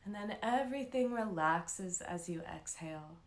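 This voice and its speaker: A woman leading a live guided meditation as an instructor, speaking in a calm, soothing, unhurried way with long pauses. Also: on the low side, roomy sound.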